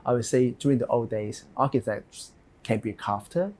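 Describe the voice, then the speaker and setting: A man in his thirties from Hong Kong with a Hong Kong English accent, an architect answering interview questions in a halting, thinking-aloud way. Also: medium pitch, a little mellow.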